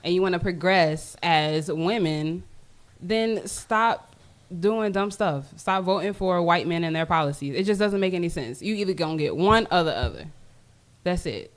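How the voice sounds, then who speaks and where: A woman's voice: lowish voice, lively intonation, normal speed. A woman on a podcast panel, speaking casually in a blunt, matter-of-fact way. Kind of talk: casual conversation.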